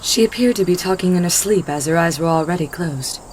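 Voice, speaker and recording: Lower-voiced woman narrating a story. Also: fairly quick, a little mellow, a little hiss or hum, poor audio.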